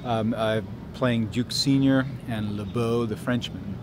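Mid-pitched man, noisy outdoor interview. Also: takes it slowly. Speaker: actor being interviewed. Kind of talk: informal interview. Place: outdoors beside a parking area. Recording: clear outdoor traffic noise.

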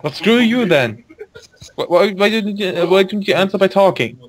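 A man's voice: sits high, lively intonation, normal speed, no echo, low-quality recording. A man chatting casually with friends in a voice call while playing an online game, restarting his sentences often. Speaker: gamer in voice chat.

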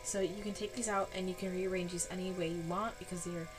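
A woman's voice: on the low side, steady tone, fast talker, music in the background. Tired nasal young woman vlogger. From Buffalo, New York. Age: 20s. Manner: rambling, apologetic, chatty. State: tired, getting sick. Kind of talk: casual haul vlog. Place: dark room at home.

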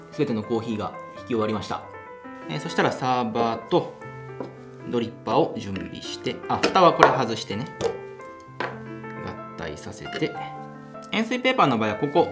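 A young man hosting a coffee channel, chatting enthusiastically as he demonstrates brewing in a small home café room. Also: mid-range voice. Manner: enthusiastic, chatty, laughs while explaining. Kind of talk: casual how-to demonstration. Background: background music.

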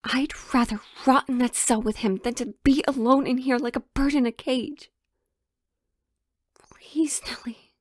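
A woman's voice: high-pitched, steady tone, measured pace, no echo, clean studio sound. A woman playing a sheltered, pleading young royal in a romantic audio drama, with hesitant, formal old-fashioned phrasing. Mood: pleading, anxious.

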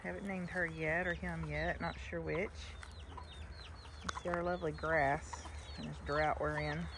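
Brief: older woman, chatty backyard chicken keeper, outdoors, hens clucking clearly behind her. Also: low voice, flat delivery, measured pace, noisy background. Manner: chatty, sing-song greetings to her hens. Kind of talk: casual walk-through chat.

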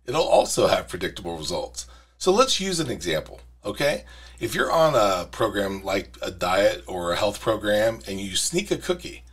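Husky-voiced male podcast host. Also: medium pitch, a bit bright. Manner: earnest, direct to camera. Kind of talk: scripted safety podcast monologue. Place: small studio with brick wall. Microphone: studio mic on arm.

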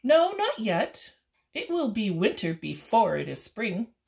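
A woman's voice: huge pitch swings, unhurried, vocal fry, a little mellow, heavily acted. A woman voicing a sad, earnest young raccoon in a storybook reading aloud. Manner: high, eager, emphatic storybook reading.

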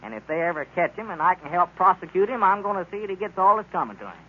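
Quick, animated townsman. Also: sits high, slightly rough. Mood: indignant. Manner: emphatic, talkative. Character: earnest, righteous small-town townsman. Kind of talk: 1930s-40s radio drama acting. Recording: muffled, noisy old radio recording.

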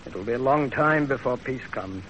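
An actor playing a commanding, probing Chinese general in a 1930s radio drama, speaking with deliberate authority. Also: male voice, mid-range voice, a bit bright, lightly acted. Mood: sober, deliberate. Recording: muffled, hissy 1930s radio recording.

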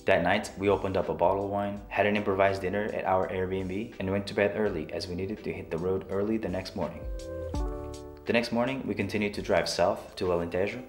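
A man's voice: low voice, steady tone, brisk. A young man narrating his travel vlog in a scripted way, with dry, self-mocking humor. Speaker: vlogger. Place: small room at home, talking to camera. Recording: roomy echo with soft guitar music.

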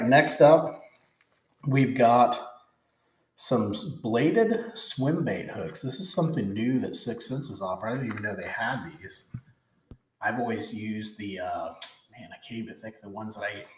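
Guy in his 40s going through a bag of lures, excited and rambly, lowish voice, roomy sound w faint hiss. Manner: enthusiastic, chatty, repeats words. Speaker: fishing channel host. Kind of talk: casual product unboxing. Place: indoor room with logo backdrop.

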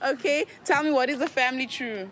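A woman interviewing people on the street, upbeat and quick with her questions and thanks. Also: sits high, crowd noise. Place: outdoors on a street. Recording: street recording with faint noise.

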